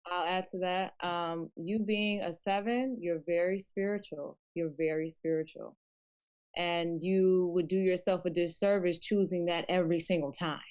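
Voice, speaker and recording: Lower-voiced woman giving spiritual advice. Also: fairly even intonation, moderate pace, a little mellow. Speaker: spiritual panelist.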